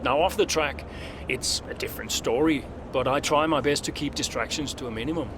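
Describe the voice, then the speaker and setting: Measured veteran racing driver played by a man, noisy background. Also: medium pitch, close to an everyday voice. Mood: measured, determined. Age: middle-aged. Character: seasoned, focused veteran racing driver nearing retirement. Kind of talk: cinematic game dialogue, interview answers.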